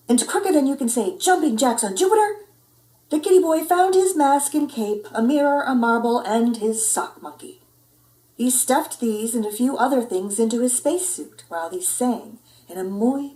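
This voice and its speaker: A woman narrating a children's story in an expressive read-aloud style. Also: fairly high pitch, a little slow, distant mic. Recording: roomy indoor echo.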